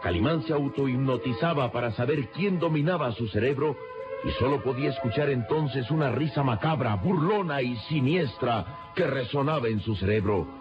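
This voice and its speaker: Male narrator, dramatic scripted radio serial, medium pitch, loud music, dull recording. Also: lively intonation, moderate pace.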